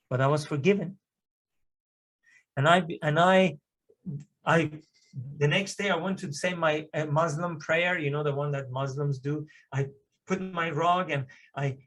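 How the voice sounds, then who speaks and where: Earnest man giving a spoken testimony. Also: on the high side. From Iran. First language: Persian. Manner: earnest, emphatic storytelling with swells of intensity. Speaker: guest speaker giving testimony. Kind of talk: personal testimony sermon.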